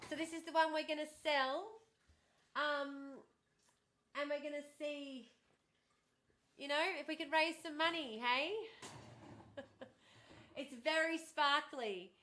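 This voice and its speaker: Woman streamer, high and bright, bubbly and chatty, slightly worn voice from hours of talking. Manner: bubbly, chatty, thanks viewers warmly and often. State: starting to lose her voice. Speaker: livestream host. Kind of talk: casual livestream chat with viewers.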